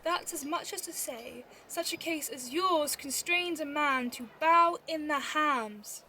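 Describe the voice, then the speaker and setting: A woman acting a witty, bawdy young Shakespearean rogue, female and mocking, in a high, bright voice. The delivery is theatrical and teasing, moving from loud flourishes to mutters at an unhurried pace, on a home recording with faint noise. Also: heavily acted. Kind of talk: Shakespeare audio drama acting. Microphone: phone.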